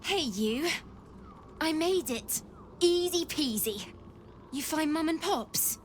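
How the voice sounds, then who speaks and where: A plucky little game-world girl, with a high voice and slow, halting delivery. She talks informally and earnestly, like a scrappy kid, over faint music. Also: animated. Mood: cheerful. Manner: informal, childlike, halting speech. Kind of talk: video game character dialogue.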